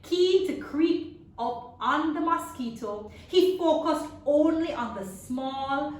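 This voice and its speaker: Female children's storyteller, slow and theatrical delivery, heavily echoing room. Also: high-pitched. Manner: expressive, dramatic, with drawn-out sound effects and questions to listeners. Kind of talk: animated read-aloud storytelling for young children. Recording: very echoey room, distant mic.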